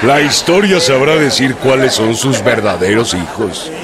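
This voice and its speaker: A man's voice: mid-range voice, unhurried, close to an everyday voice. A man playing a proud, plain-spoken Mexican revolutionary general in a radio drama, speaking in solemn declamation. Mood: solemn, heartfelt. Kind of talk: historical radio drama acting. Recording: loud music and crowd behind.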